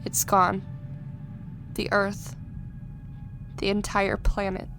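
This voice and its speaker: A woman's voice: mid-range voice, completely flat delivery, slow, music in the background. A woman playing a grave, caring space station scientist in an audio drama. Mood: grave, sorrowful.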